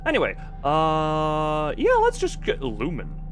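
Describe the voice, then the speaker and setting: Male game streamer, high and very animated, casual, goofy, scattered delivery, music underneath. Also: slow pace. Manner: goofy, rambling, self-interrupting. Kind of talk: casual livestream chat while setting up a game.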